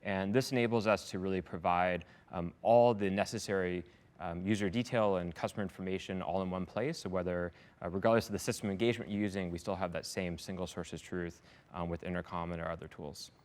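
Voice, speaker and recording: Very low-voiced guy talking quick, corporate exec in a business interview, polished and upbeat. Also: fairly even intonation. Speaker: head of sales, success and support at a software company, interviewed as a customer.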